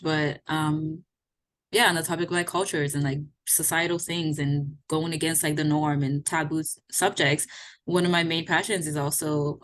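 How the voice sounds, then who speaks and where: Woman, 30s, lowish voice, from Haiti, podcast co-host, casual reflective chat. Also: a little hiss or hum. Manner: reflective, earnest, many "like" and "you know" fillers. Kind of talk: casual conversation.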